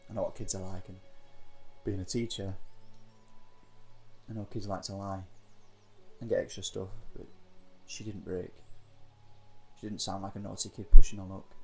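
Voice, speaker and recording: Low-voiced man narrating a story. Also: little pitch movement, moderate pace, gravelly, pauses often, a little mellow, poor audio. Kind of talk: first-person scripted story narration. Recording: music under the voice. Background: music.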